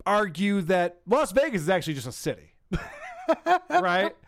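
A man's voice: high-pitched, very animated, normal speed, a bit bright, no room sound, clean studio sound. A man hosting a casual podcast, mocking and sarcastic as he picks apart jokes. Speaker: podcast host.

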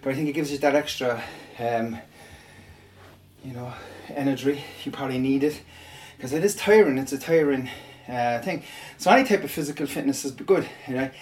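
A man's voice: mid-range voice, normal speed, a little mellow. A man talks in loose, rambling bursts while he works through exercises. He breathes hard between phrases and breaks into laughter often. His tone is casual, like he's talking to regular listeners. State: out of breath and sweaty. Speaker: online audio creator. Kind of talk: casual chat while working out.

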